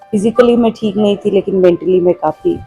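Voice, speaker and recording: A woman's voice: mid-range voice, fairly quick, warm tone, breathy edge, faint music behind. A woman narrating a personal vlog in a reflective way. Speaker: daily vlogger.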